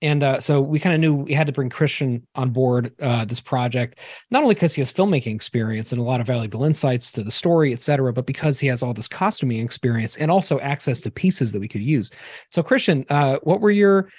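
A man's voice: mid-range voice, animated, fast, no room sound, clean studio sound. A man co-hosting a podcast in casual roundtable conversation. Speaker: podcast co-host.

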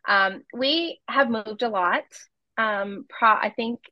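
A woman's voice: fairly high pitch, takes it slowly, dry recording, muffled recording. A Canadian woman in her forties, a home organizing coach, talking in a friendly, conversational way as an interview guest. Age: 40s.

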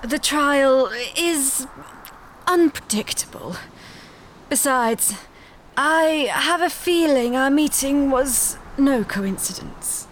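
A woman voicing a calm, wise, secretive alien woman who speaks in measured, cryptic lines, sometimes dropping to a whisper. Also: high voice, slight background noise. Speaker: alien guide. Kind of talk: audio drama acting. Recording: music score underneath. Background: music.

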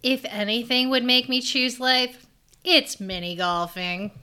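A woman co-hosting a podcast in casual chat, laughing often, chiming in quickly and swearing freely. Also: fairly high pitch, sharp tone, clean tone. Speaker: podcast co-host.

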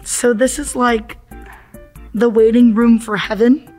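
A woman's voice: fairly high pitch, fairly even intonation, slow pace, darkish tone, soft background music. A woman playing a confused, exasperated accident victim in an audio drama, with sarcastic, dramatic, slangy delivery. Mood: panicked. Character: confused, exasperated accident victim stuck in afterlife waiting room.

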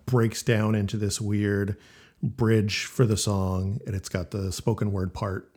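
A man hosting a casual music podcast, talking loosely with lots of fillers and quick agreements. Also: fairly low pitch, little pitch movement, darkish tone, breathy edge. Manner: laid-back, many fillers and quick agreements. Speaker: podcast host.

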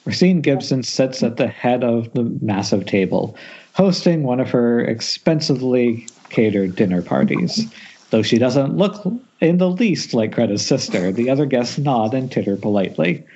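A man's voice: medium pitch, big pitch swings, normal speed, darkish tone, breathy edge. A man reading stage directions as narrator at an amateur table read, recorded over a muffled internet call.

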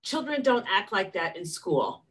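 A woman of middle pitch hosts the interview and builds up her questions in a loose, conversational way. Her voice comes from a little away from the microphone. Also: sing-song, unhurried, far from the mic, rough recording. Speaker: interview host. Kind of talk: casual interview chat.

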